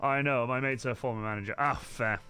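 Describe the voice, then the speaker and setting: Bright-voiced man streaming, swearing cheerfully. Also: medium pitch, fairly even intonation, brisk. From Wales. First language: Welsh. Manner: chatty, sweary, reads chat aloud. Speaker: cosplay streamer. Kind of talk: casual live-stream chat while crafting. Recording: faint music behind.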